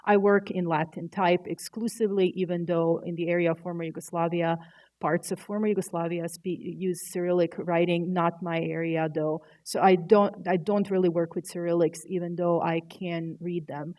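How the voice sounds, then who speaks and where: A woman's voice: lowish voice, flat delivery, moderate pace, a little mellow. A woman whose first language is Croatian, an artist and typographer answering panel questions in a thoughtful, candid way. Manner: thoughtful, searching for words, candid. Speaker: artist and typographer, university educator.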